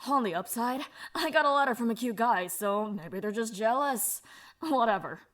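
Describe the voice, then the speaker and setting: A woman's voice: a little high, lively intonation, moderate pace, fairly bright tone. A woman voicing a tough young woman who reads out her letter in a casual, swearing, offhand way. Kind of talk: reading a letter aloud.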